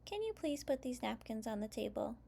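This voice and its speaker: A woman voicing a bossy young girl bunny who is busy hosting a tea party, in a bright sing-song storybook voice. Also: on the high side, very animated, fairly quick, vocal fry, full character voice. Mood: scolding.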